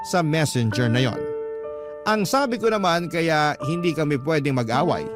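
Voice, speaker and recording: A man tells a first-person story as a radio narrator. His voice is a bit higher than average, and music is clearly heard behind him. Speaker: narrator reading a letter sender's story.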